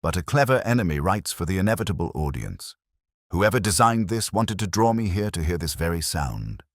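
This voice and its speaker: A man voicing a brilliant, grave detective in an audio story, deliberate, incisive and coolly analytical. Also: deep voice, big pitch swings, no room sound, studio quality, pitched above the performer's own voice, full character voice. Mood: grim.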